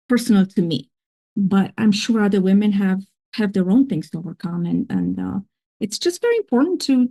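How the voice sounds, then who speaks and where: Mellow-voiced accented woman, earnest and reflective. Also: mid-range voice. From grew up in another country. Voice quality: speaks with a foreign accent. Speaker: podcast guest. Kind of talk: casual interview talk.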